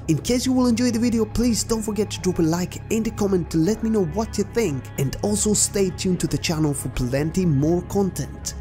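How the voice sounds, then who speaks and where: A woman's voice: medium pitch, mellow. A woman hosting a gaming channel, reading a scripted introduction to a video.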